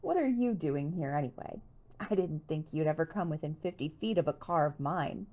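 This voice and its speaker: A woman's voice: low voice, animated, moderate pace, darkish tone. A woman voicing a determined, playful teenage girl detective in an audiobook, frank and lightly teasing.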